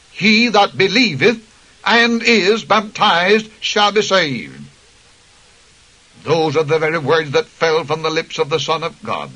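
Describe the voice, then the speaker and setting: Husky, impassioned male preacher. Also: fairly high pitch, fairly bright tone, low-quality recording. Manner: impassioned, emphatic preaching that cites scripture. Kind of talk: radio sermon.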